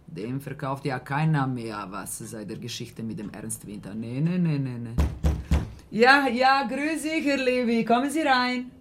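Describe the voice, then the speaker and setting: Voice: medium pitch, animated, unhurried, lightly acted. Actor as bossy, gossipy small-town farmer. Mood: gossiping, scheming, then effusive greeting. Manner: scolding, gossipy, muttering asides. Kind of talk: German radio play acting.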